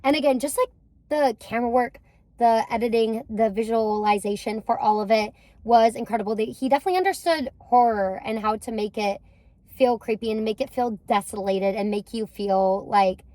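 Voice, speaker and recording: A woman's voice: on the high side, fairly quick, warm tone, no echo. A young woman co-hosting a casual movie review from a parked car, rambling and enthusiastic with lots of fillers.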